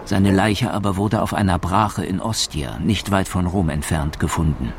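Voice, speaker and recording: A man's voice: low-pitched, normal speed, vocal fry, noisy background. A man as a documentary narrator in a radio play, reading scripted reports soberly and factually.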